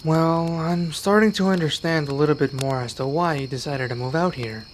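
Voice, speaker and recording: An earnest young male werewolf, acted by a man for an ASMR roleplay, with a slightly high voice. He is gentle and protective, soft-spoken and given to pauses. Crickets and insects chirp faintly behind him. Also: a little hiss or hum, natural voice. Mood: curious, concerned.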